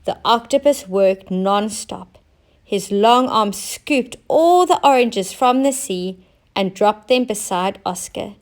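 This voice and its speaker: A woman narrating a children's storybook in an expressive, deliberate way, with emphasis on key words. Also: mid-range voice. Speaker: teacher reading stories aloud.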